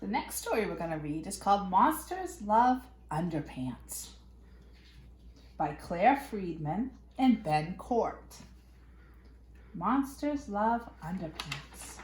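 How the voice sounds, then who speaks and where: A woman reading a picture book aloud to young children in an expressive, playful way with dramatic emphasis. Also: on the low side, takes it slowly, long pauses. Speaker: children's storyteller. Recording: echoey room.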